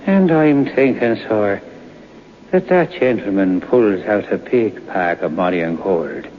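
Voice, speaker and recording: An actor playing a storyteller who tells an eerie family tale in a folksy, suspenseful way for old-time radio. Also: male voice, fairly low pitch, expressive, slow pace. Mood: hushed wonder. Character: Irish storyteller recalling his grandfather's eerie tale. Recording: dull old radio recording, faint noise.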